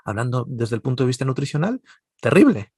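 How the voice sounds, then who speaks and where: Gravelly Spanish man, animated speaker. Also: mid-range voice, fairly quick, dry recording, clean studio sound. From Spain, Basque Country. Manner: animated, digressive, many restarts and stammers. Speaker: seminar speaker. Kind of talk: religious seminar talk.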